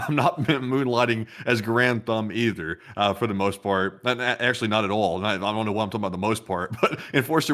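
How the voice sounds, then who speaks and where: A man's voice: fairly low pitch, a bit bright. A young man co-hosting a livestream, reading out donations and rambling with hesitant repeats. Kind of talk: casual livestream chat.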